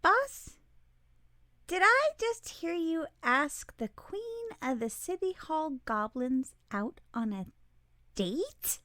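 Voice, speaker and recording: A woman's voice: sits high, sing-song, takes it slowly, bright, forceful tone, dry recording, full character voice. A woman voicing a fretful sidekick who frets over the boss in an audio drama. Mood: incredulous, fretful.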